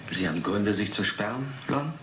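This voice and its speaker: A needling male interrogator played by an actor with a fairly low voice probes persistently. It is an eighties radio play, recorded in an echoey room with clear noise behind. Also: lively intonation, moderate pace, natural voice. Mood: probing. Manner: pointed, probing. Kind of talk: 1980s German radio drama acting.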